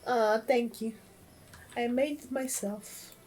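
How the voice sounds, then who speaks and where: Female game streamer, Polish accent, unhurried and soft-edged, fairly high voice, easygoing chat with faint music. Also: fairly even intonation, slight background noise. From Poland. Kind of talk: casual livestream game chat.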